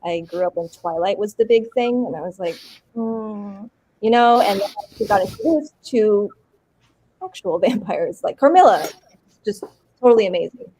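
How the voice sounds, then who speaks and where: A woman actor chatting in an interview, bubbly, with frequent laughs and quick bursts of talk. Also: medium pitch, expressive, dark tone, slightly rough, poor audio. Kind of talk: casual interview chat.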